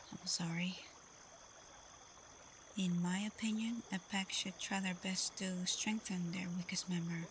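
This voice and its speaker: A woman playing a caring, protective, frank female werewolf pack leader in a soft, breathy, reassuring ASMR roleplay. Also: on the low side, a little hiss or hum.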